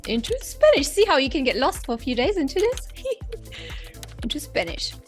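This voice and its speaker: A woman's voice: high voice, big pitch swings, normal speed, faint music behind. Upbeat German-accented woman explaining to camera. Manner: upbeat, emphatic, often tags "right?". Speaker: business coach and YouTube host. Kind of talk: enthusiastic talking-to-camera explainer.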